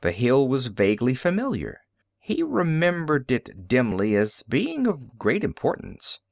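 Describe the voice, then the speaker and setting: A man reading science fiction as an audiobook narrator, in a dramatic, deliberate storytelling manner. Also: medium pitch, wildly swooping pitch, vocal fry, darkish tone, dry recording, clean studio sound.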